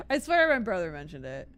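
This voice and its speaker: A woman streaming a video game, chatting casually and thinking aloud in a giggly, talkative way. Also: high-pitched. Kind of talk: casual live stream chat. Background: game music.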